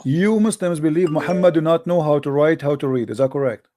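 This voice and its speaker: A man's voice: fairly high pitch, fairly quick, dark tone, soft background music. A middle-aged man whose first language is Arabic, hosting a call-in debate, insistent and lecturing, often repeating himself. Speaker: host.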